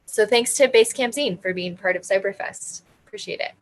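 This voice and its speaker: A woman's voice: medium pitch, fairly quick, poor audio. A woman hosting an online zine festival, introducing presenters in an upbeat way and reading their bios aloud. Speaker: festival event host.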